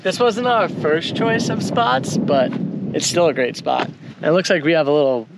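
Loud noise surrounds a man in his thirties. He chats casually as a travel vlogger, in a fairly high voice for a man. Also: lively intonation, normal speed. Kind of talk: casual chat. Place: outdoors and inside a camper van.

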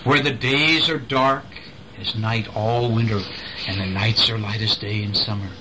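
A middle-aged man reading a picture storybook aloud to a child in an expressive way, with chatty asides and questions. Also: fairly low pitch, a little slow. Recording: home recording with faint background noise.

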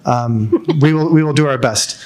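A man's voice: medium pitch, moderate pace, darkish tone. Polite hesitant male moderator. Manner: hesitant, polite with many ums. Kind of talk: event moderation. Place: university lecture hall. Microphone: handheld mic.

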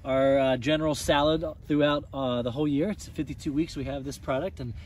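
A man's voice: mid-range voice, fairly even intonation, a little fast, a little mellow, a little hiss or hum. A young man, a farmer, giving an earnest on-site tour outdoors on a snowy field, explaining with frequent fillers. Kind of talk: on-site farm tour interview.

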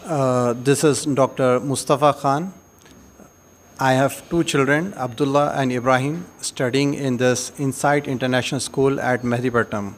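A man in his thirties with an Indian accent giving a formal, halting interview testimonial as a parent into a handheld microphone. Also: mid-range voice, steady tone. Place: decorated school room at a science fair. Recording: clear background noise, event ambience.

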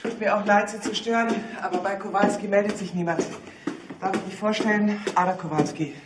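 An actress playing a dogged middle-aged police secretary turned amateur sleuth in a naturalistic German radio play. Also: female voice, mid-range voice, steady tone, fairly quick, heavy background noise, natural voice. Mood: polite, feigned.

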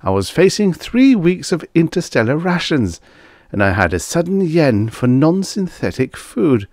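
A man's voice: on the high side, big pitch swings, unhurried, darkish tone, dry recording, clean studio sound, pitched above the performer's own voice. A man voicing a blunt, grumbling space trucker with colloquial talk in a science fiction story. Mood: complaining.

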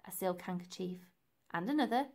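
A woman reading a children's book aloud in an animated way, with dramatic emphasis for young listeners. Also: lowish voice, fairly quick. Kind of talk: expressive storybook narration.

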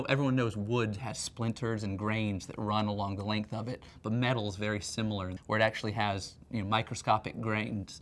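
A man in his thirties giving a conversational, explanatory promotional talk as a company presenter. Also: on the low side. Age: 30s. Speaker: company R&D representative, factory tour presenter. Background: background music.

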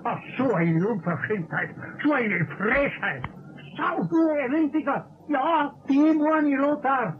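An actor playing a grumpy, indignant old caretaker who grumbles and scolds with a Bavarian accent in a radio play. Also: male voice, very high-pitched, measured pace. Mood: indignant scolding. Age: older. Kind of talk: 1960s German radio play acting. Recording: muffled, noisy old radio-play recording.

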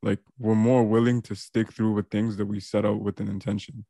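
Calm flat-voiced host, low and dark. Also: male voice, fairly quick, dry recording. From Toronto area. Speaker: podcast host. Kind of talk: casual podcast chat.

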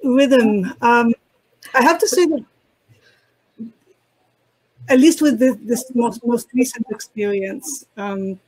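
A woman whose first language is Italian, speaking as a poetry translator on a video call panel, slow and halting as she searches for words. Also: on the high side, rough recording. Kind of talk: reflective panel discussion.

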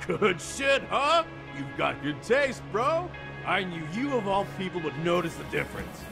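Animated, slangy street tough. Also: male voice, sits high, a little slow, creaky, over music, full character voice. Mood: pleased. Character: excitable, slangy male street tough, grumbling but friendly. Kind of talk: video game dub acting.

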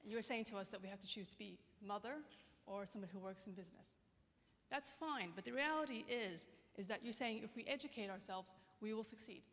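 A woman's voice: mid-range voice, brisk, fairly bright tone. A woman from Britain speaks up from the audience at a conference, challenging the speaker earnestly and fluently into a handheld microphone. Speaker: audience member asking a question. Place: large conference hall, seated in audience. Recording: long hall reverb.

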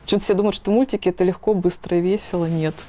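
A woman's voice: on the low side, steady tone, very fast, mellow. A woman in her thirties giving casual interview answers in an informal, emphatic way. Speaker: animation maker, interviewee. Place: office room on a sofa, indoors.

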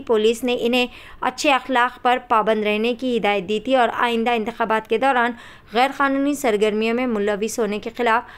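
Woman reading formal news, smooth voice. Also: on the high side. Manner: formal Urdu-laden newsreading. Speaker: news anchor.

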